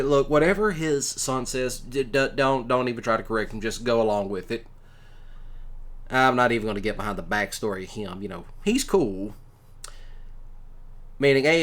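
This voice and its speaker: Man, medium-pitched voice, folksy, halting delivery, casual one-sided roleplay chat. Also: fairly bright tone. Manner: folksy, halting, with drawn-out pauses. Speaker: ASMR roleplay performer.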